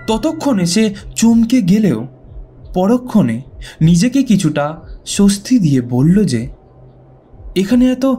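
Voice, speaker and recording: A man narrating a scripted ghost story in a suspenseful, deliberate way with dramatic pauses. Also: sits high, mellow. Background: background music.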